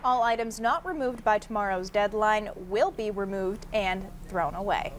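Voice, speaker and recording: A woman's voice: mid-range voice, moderate pace, noisy background. An American woman reading scripted news as a television anchor.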